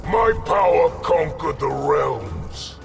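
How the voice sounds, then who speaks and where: A brutal video game warlord, imperious and menacing. The delivery is slow and gravelly, with big swings in pitch, and music plays behind it. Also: male voice, very animated, distant mic, exaggerated voice. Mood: domineering. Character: brutal, arrogant male warlord emperor. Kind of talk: fighting game dialogue.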